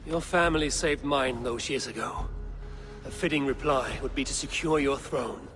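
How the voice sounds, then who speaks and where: An actor playing a proud, loyal Viking warrior in a video game, speaking in solemn, measured, old-fashioned phrases. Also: male voice, mid-range voice, close to an everyday voice. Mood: grave, determined. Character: loyal battle-hardened male Viking warrior, proud and resolute. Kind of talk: dramatic video game acting. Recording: music behind the voice.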